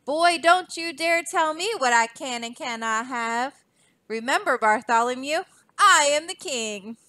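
A woman's voice: high voice, animated, a little slow, sharp tone, dry recording, heavily acted. A woman doing a grumpy bellowing old king. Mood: indignant.

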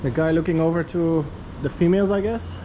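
Male travel vlogger, thirties, slightly higher voice, casual thinking-aloud delivery, noisy handheld camera audio. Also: brisk, dark tone. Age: 30s. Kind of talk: casual spoken-as-it-happens travel vlog narration. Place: city street, then hotel lobby, lift, corridor and room. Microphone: action camera held at arm's length.

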